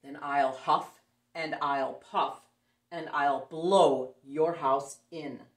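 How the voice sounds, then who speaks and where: A woman voicing a big bad male pig who makes slow, loud, growling threats, in a roomy room. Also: sits low, fairly even intonation, voice pushed down. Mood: menacing. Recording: roomy echo, voice a bit far off.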